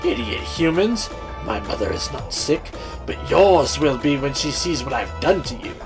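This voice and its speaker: A man's voice: fairly high pitch, sing-song, moderate pace, creaky. A huge, scornful griffin as a man puts it on sneers down in a threatening storybook voice. Faint music sits beneath it. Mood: menacing.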